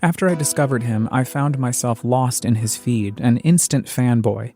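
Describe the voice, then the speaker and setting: A man hosting a podcast, reading scripted, reflective narration in a measured, earnest way. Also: medium pitch, darkish tone, soft background music. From United States.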